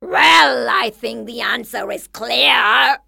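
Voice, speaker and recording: Storybook tiger, male, hungry and pushy, voiced by a woman; bright, slow delivery. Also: a little high, creaky, no room sound, clean studio sound, exaggerated voice. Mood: smug. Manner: loud, drawn-out growling delivery. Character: hungry impatient male tiger, big and bossy.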